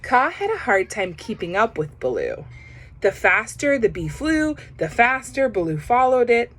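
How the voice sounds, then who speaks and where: Slow, lively woman reading a storybook. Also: a little high, a little hiss or hum. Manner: pauses to comment on the pictures. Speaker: storybook reader. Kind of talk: children's picture-book read-aloud.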